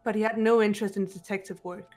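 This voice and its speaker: A woman voicing a composed, guarded teenage girl detective in a video game, speaking in a measured, serious way, with bitterness held in check. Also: medium pitch, steady tone, a little mellow, lightly acted. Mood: controlled, reflective. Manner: measured, serious, deliberate delivery. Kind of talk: amateur video game voice acting. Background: background music.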